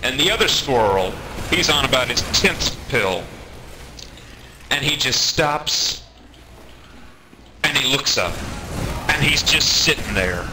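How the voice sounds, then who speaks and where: A man telling a comedic anecdote in an animated way, acting out the quotes as he goes. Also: mid-range voice, slow pace, pauses often. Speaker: storyteller, online gamer. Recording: noisy amateur game-session recording.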